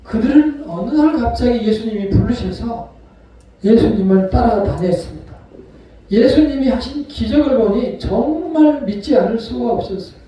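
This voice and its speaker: A male pastor with a high, dark-toned voice tells the story like a dramatist, acting out the voices in it. He rises loud, then drops almost to a murmur. He is heard from far off in a strongly echoing church sanctuary, with faint noise behind. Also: expressive, moderate pace. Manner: storytelling, acts out quoted voices; swings between loud and soft. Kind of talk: sermon. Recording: echoey hall from far off.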